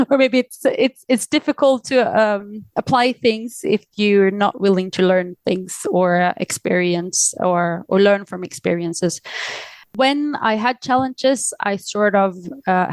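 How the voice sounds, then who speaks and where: A woman's voice: mid-range voice, no room sound. A woman with a Swedish accent, answering reflectively as a podcast guest, with hesitant, searching phrasing. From Sweden. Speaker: podcast guest, aid organisation director. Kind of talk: reflective interview conversation.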